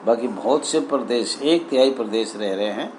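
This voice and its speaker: A man with a medium-pitched voice speaks as an association leader to members. He reads from documents and comments on them, breaking his sentences into short, deliberate phrases. Faint noise sits behind his voice. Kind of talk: advocacy video address, reading out documents and commenting. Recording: steady background noise.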